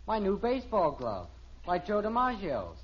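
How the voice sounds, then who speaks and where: Husky, high-voiced eager American teenage boy. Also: wildly swooping pitch, normal speed, heavily acted. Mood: eager, earnest. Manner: whiny, pestering, gee-whiz earnestness. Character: eager, naive, persistent American teenage son. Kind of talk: 1950s radio sitcom acting. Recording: dull old radio recording.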